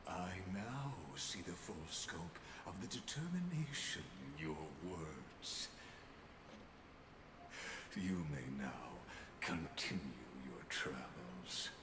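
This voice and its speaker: An actor voicing a calm, scheming game villain of cosmic intellect, speaking in a formal, theatrical, condescending manner. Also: male voice, a bass voice, slow pace, echoey room, noisy background, heavily acted. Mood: coolly dismissive. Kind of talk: scripted video game villain monologue. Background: music.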